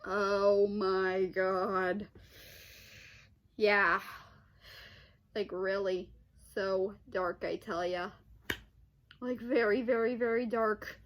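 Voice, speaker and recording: A young woman hosting a casual, unscripted commentary, halting as she repeats and rephrases, with exclamatory reactions. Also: medium pitch, fairly even intonation, lo-fi sound. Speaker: online video host.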